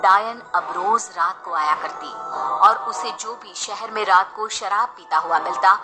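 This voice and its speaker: A woman's voice: mid-range voice, unhurried, over music. A woman narrating a scripted horror bedtime story as a storyteller.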